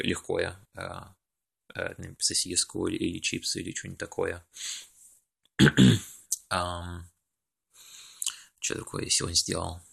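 Hesitant low-voiced man, very flat. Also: gravelly, husky. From Russia. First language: English now dominant. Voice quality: hesitant, many fillers and pauses searching for words. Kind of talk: casual monologue recording.

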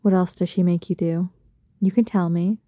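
A woman speaking in an intimate adult roleplay style straight to the listener, soft, breathy and coaxing, full of doting pet names. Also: fairly low pitch, fairly even intonation, normal speed, no echo, studio quality. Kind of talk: intimate erotic roleplay audio, addressing the listener.

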